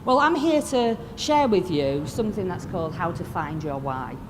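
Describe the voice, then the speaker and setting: A woman in her fifties with a Yorkshire accent, giving a blunt, lively motivational talk to a live audience. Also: fairly low pitch, normal speed, noisy background. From Lancashire, England. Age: 50s. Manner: lively, blunt and swearing, asks the crowd questions. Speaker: motivational speaker. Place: expo stage before a live audience.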